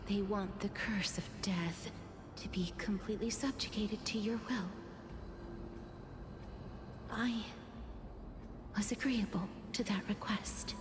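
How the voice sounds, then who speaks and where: Actress as a slow, soft-spoken solemn young maiden. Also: female voice, medium pitch, fairly even intonation, noisy background, close to an everyday voice. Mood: earnest, subdued. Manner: formal, measured, hesitant. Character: gentle, solemn young holy maiden burdened by a death curse. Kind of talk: scripted English video game voice acting. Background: game music.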